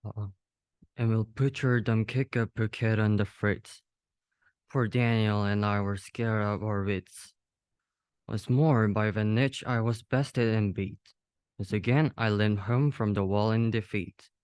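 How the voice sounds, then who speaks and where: A young man reads a rhyming children's story aloud. His voice is very smooth and clear, pitched a little low, and the sound is dry and close. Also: steady tone, a little slow, darkish tone, no room sound. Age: young adult. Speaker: student reader.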